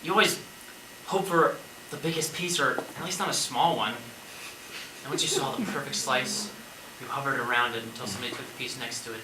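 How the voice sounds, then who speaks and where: A man's voice: mid-range voice, brisk, long pauses, far from the mic, natural voice. Guy acting as wry rambling zombie apocalypse survivor musing on death, stage play, echoey and kinda noisy.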